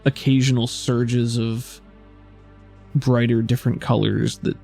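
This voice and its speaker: A man narrating a tabletop roleplaying game as game master, improvising descriptions in a casual, halting way. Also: mid-range voice, fairly even intonation, creaky, dark tone. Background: faint music.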